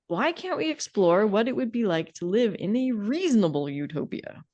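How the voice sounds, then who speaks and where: A woman's voice: medium pitch, sing-song, moderate pace, a little mellow, dull audio. A middle-aged woman co-hosting a podcast in a conversational way, with a wry, emphatic and opinionated manner. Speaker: podcast co-host.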